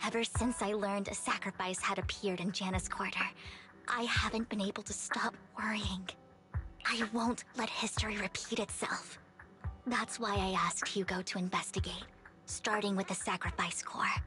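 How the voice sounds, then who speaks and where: A woman plays a devoted, wounded young heroine in a video game. Her voice is bright and clear-cut, with formal, measured, dramatic phrasing. Soft music runs underneath. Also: mid-range voice, steady tone, lightly acted. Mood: pained, resolute. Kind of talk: English video game voice acting.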